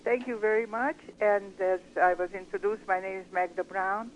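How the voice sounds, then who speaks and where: An older woman from Hungary, a guest giving her personal testimony slowly, with measured, searching pauses. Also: mid-range voice, steady tone, a little hiss or hum, poor audio.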